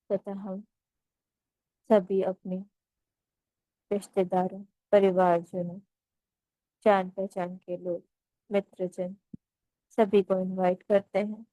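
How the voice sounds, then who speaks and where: Female meditation instructor, relaxed dark timbre, close dry sound, unhurried phrases with pauses, gently instructive. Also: mid-range voice, dry recording, low-quality recording. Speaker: meditation guide.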